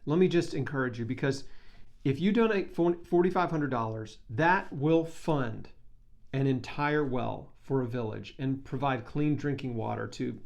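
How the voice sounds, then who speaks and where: A man's voice: medium pitch, unhurried, gravelly. A man talking casually to camera as a vlogger making a charity appeal, with an informal, enthusiastic and earnest manner. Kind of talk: casual talk to camera, fundraising pitch.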